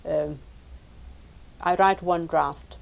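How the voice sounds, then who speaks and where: A woman whose first language is Lithuanian, a professor and translator, talking in a measured, thoughtful way and repeating words as she thinks. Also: lowish voice, fairly even intonation, creaky. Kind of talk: recorded oral history interview.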